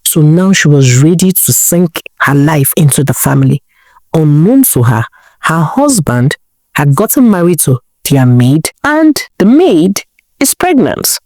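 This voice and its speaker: A woman's voice: low-pitched, big pitch swings, measured pace, darkish tone, dry recording, clean studio sound. A woman with a Nigerian accent telling a folktale as a dramatic storyteller.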